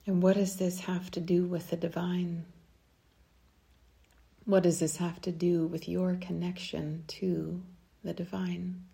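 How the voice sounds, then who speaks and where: A woman leading a guided meditation in a soft, soothing, unhurried way, at times breathy, with long pauses. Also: lowish voice, little pitch movement.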